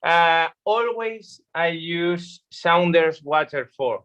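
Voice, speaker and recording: A man teaching watercolor painting in a live online demo, speaking halting with many pauses, on a video call. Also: fairly high pitch, fairly bright tone. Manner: halting non-native English with many pauses. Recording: slightly dull lo-fi stream audio.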